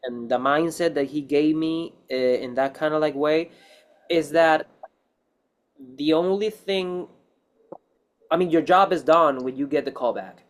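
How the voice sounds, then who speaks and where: A man with a fairly high voice talks casually as an actor being interviewed. His English has non-native phrasing. He rambles through his advice, repeating key phrases with emphasis. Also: unhurried, pauses often.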